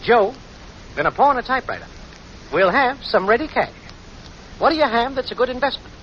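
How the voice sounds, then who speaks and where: An actor playing a brash, slangy small-time schemer boyfriend with an American accent in a 1940s radio comedy. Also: male voice, very high voice, expressive, fairly quick, fairly bright tone. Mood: cocky, scheming. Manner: slangy, brash, smooth-talking. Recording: muffled, noisy vintage 1940s radio broadcast.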